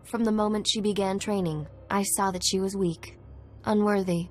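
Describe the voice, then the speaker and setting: An actress playing a grave, earnest captive agent in a video game. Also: female voice, mid-range voice, fairly even intonation, normal speed, close to an everyday voice. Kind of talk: video game cutscene acting. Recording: game audio over music.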